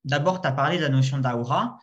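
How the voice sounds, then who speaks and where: A man's voice: on the high side, quick pace, muffled recording. A man in conversation explaining his view at length, with frequent hesitations and restarts. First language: not Arabic. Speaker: discussion guest. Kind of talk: casual spoken debate.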